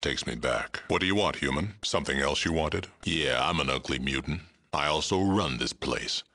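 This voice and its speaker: Game role: old super mutant lawman, extremely low and forceful, terse, weary, fair. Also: animated, normal speed, full character voice. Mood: gruff, challenging. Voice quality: gravelly, rumbling. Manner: blunt, terse, dry delivery. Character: gruff, aging super mutant sheriff, world-weary but fair-minded. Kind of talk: video game character dialogue.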